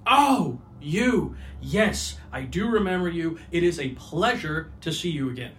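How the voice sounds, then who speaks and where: A man's voice: a little high, expressive, unhurried, fairly bright tone, a little hiss or hum. A man acting a slippery, easily flustered salesman in a comedic audio drama, glib and evasive, hesitating and then turning pushy. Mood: evasive, sheepish. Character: slippery, flustered male salesman of fake disappearances.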